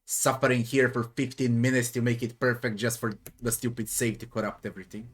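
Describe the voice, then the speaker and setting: A man's voice: mid-range voice, flat delivery, a little fast, breathy edge. A man from Serbia with a Serbian accent gives casual game commentary, grumbling, swearing and muttering to himself. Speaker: gamer doing a playthrough. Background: faint game music.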